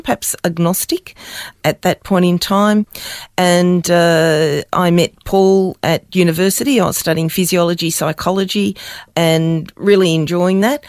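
A woman's voice: on the low side, dry recording, clean studio sound. Older Australian woman, hesitant speech. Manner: hesitant, with frequent ums and uhs. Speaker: interview guest. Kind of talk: personal testimony in conversation.

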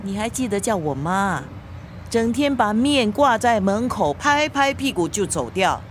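Nagging older Singaporean mother, animated. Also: medium pitch, a little slow, a little hiss or hum. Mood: reproachful, indignant. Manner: scolding, reproachful, argumentative. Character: nagging, aggrieved elderly mother, a grandmother, fretting over daughter. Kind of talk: radio drama acting.

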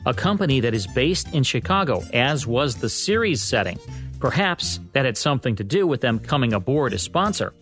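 A man's voice: mid-range voice, big pitch swings, moderate pace, a bit bright, soft background music. An American man co-hosting a radio history show, reading scripted narration. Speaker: radio show co-host and producer.

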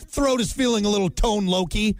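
Wisecracking man with a rough voice. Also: high voice, a little fast, no echo. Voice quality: rough-sounding voice. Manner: wisecracking, teasing. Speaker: morning show co-host. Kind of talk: jokey morning radio banter.

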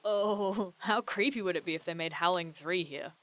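An actress playing a sardonic, excitable young woman who grumbles and swears her way through an audio drama adventure. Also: fairly low pitch, moderate pace, close to an everyday voice. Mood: wry. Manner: sarcastic, grumbling, casually sweary. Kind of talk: naturalistic audio drama dialogue.

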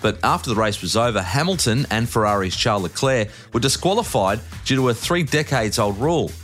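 A man's voice: medium pitch, lively intonation, a little fast, a bit bright. Australian man reading sports news over music. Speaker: sports news podcast host. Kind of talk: scripted sports bulletin narration.

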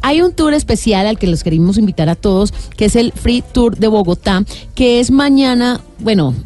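A woman's voice: on the high side, expressive, moderate pace, mellow, soft background music. A woman hosting a radio show, chatting in an upbeat, promotional way from a studio. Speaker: radio host. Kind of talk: casual radio chat.

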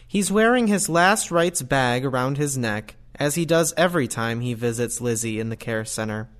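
A narrator voiced by a man reading stage directions for an audio drama. His mid-pitched voice moves expressively through the scripted lines. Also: expressive, normal speed, fairly bright tone, natural voice. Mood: neutral.

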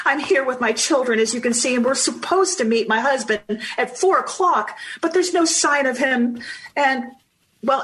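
A woman's voice: sits high, a bit bright, a little hiss or hum, poor audio. An actress playing an anxious, polite 1950s mother in old-time radio drama style, with a hesitant, fretful manner.

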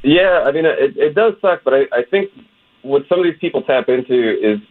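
A man's voice: a little high, expressive, fairly quick. A man from West Virginia, a comedian being interviewed, earnestly recounting a conversation with quoted back-and-forth. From West Virginia, USA. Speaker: guest, comedian. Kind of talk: phone-in interview. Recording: tinny phone line.

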